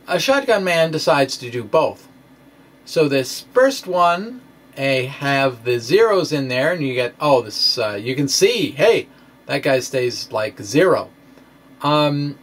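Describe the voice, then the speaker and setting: Lively, higher-voiced man explaining science. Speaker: science blogger presenting own work. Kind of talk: informal explanatory lecture, dramatic reading of blog post.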